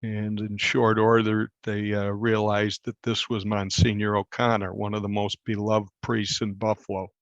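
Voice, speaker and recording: A man working as a reporter, telling a story conversationally in an interview in a measured, explanatory way. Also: fairly low pitch, little pitch movement, no echo, muffled recording. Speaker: newspaper reporter being interviewed.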